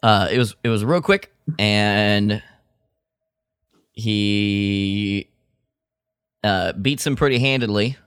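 A man's voice: on the low side, takes it slowly, long pauses, a bit bright, clear, resonant tone, no echo, studio quality. A man co-hosting a podcast in casual chat, with an informal, playful way of bantering. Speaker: podcast co-host.

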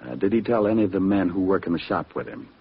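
Hard-boiled private eye, dry and flippant, deep-set voice, old radio drama, muffled sound. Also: male voice, quick pace, slight background noise. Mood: concerned, steady. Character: wisecracking hard-boiled male private detective. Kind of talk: 1940s radio detective acting and first-person narration.

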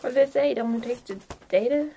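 A woman's voice: fairly high pitch, a little fast, mellow, slight background noise. A woman hosting and narrating a tabletop game as game master, in a casual style. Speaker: game master and podcast host.

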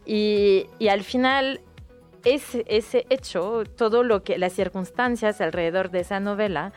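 A woman's voice: mid-range voice, unhurried, soft background music. A woman with a French accent, native French speaker, recommending a novel in a casual interview, often restarting her words. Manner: hesitant, frequent restarts and fillers. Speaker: guest book recommender.

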